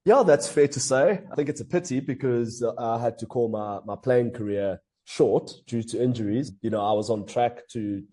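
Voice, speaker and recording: A man from Africa, a hockey coach giving earnest, measured interview answers. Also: mid-range voice, mellow. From South Africa. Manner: earnest, measured, with frequent fillers and restarts.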